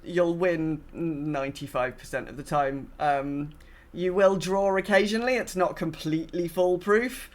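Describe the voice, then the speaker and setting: A woman's voice: fairly low pitch, a little slow, darkish tone. Wry, understated woman chatting casually. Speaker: podcast co-host. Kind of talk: casual gaming podcast chat.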